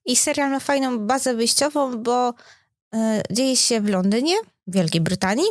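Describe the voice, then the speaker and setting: A woman's voice: on the high side, normal speed, no room sound, clean studio sound. A woman co-hosting a podcast in casual conversation, chatty and informal, thinking aloud as she talks. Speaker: podcast co-host.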